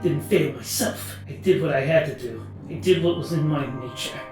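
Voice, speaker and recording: A man playing a gruff, defiant wolf adventurer in a comic fantasy audio drama. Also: on the high side, normal speed, lightly acted. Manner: defiant, dismissive. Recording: echoey hall, distant, music underneath.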